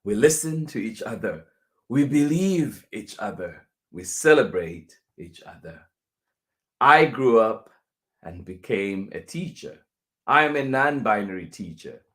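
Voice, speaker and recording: A man reading a children's picture book aloud as a teacher would, deliberately, with pauses between phrases. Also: on the low side, lively intonation, a bit bright.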